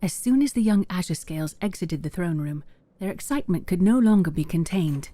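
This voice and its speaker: A woman's voice: fairly low pitch, animated, fairly quick, dark tone. A woman narrating a fantasy story in a scripted, dramatic storytelling style. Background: faint music.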